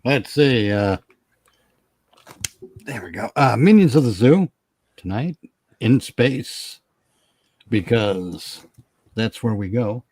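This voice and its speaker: Male panelist, average pitch, casual and blunt, unpolished conversational delivery with no room sound. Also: animated, slow pace, pauses often, a little mellow, dry recording. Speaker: panelist and streamer. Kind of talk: casual chat.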